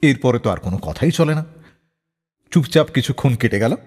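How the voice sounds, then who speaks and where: A man's voice: medium pitch, lively intonation, a little fast, a little mellow, husky, big-room sound. A man hosting a radio show, narrating scripted stories in a dramatic storyteller's way. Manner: dramatic storyteller, acts out quoted dialogue.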